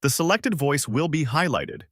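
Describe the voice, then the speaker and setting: A man narrates a scripted step-by-step software tutorial in an orderly, explanatory, instructional way, as a close voice-over. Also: on the high side, sing-song, moderate pace, no room sound.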